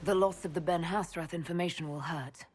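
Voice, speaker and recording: An actress playing a composed, caring leader of a fantasy army in a video game, speaking in a measured, earnest way. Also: female voice, on the low side, steady tone, over music, natural voice. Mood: concerned.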